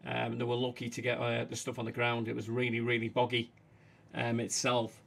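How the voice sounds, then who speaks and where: A man with a Manchester accent hosting a casual livestream, talking haltingly with many fillers. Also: mid-range voice, monotone, creaky, a bit bright. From England. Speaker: livestream host.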